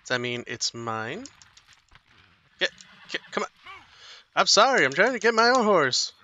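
Bright-voiced rambling young gamer guy. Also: high-pitched, very animated, a little slow, a little hiss or hum. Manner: rambling, apologetic, self-mocking storyteller. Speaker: gaming streamer. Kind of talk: casual let's play commentary.

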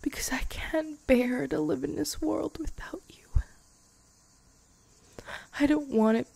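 A woman voicing a loving young vampire girlfriend in an intimate, improvised ASMR roleplay, tender and hushed, often slipping into whispers, close on the microphone. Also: sits high, slow, gravelly, no room sound, full character voice. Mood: fearful, devoted. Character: loving young vampire girlfriend, playful yet afraid of loss.

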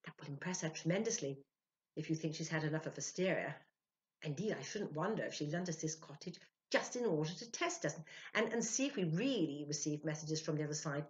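Storybook wife, as a woman narrator plays her: shrewd, exclamatory, highly animated, slightly muffled sound. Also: fairly quick. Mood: triumphant. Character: keen, shrewd, excitable wife. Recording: dull, lo-fi sound.

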